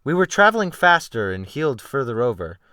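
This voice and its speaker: Animated man narrating a dramatic audiobook. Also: mid-range voice, brisk, clean tone, no room sound, studio quality. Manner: theatrical, expressive delivery.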